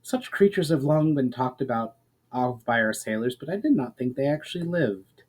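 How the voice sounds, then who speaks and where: A man voicing an earnest, curious noble lady who commands a ship, speaking in measured, formal phrases in a fantasy story. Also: medium pitch, animated, a little mellow, natural voice. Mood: quiet wonder.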